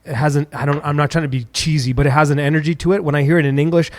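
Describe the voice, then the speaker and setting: Greek-first-language guy from cyprus, kinda high voice, stumbles and restarts a lot, laughs easy. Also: little pitch movement, darkish tone. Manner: halting, many restarts, laughs easily. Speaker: podcast host. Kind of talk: casual conversation.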